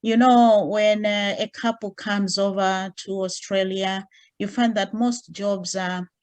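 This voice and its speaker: Woman, community representative, polite measured speech, medium pitch. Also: steady tone. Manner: polite and measured, with frequent uh fillers. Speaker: community representative asking a question. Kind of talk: online panel discussion.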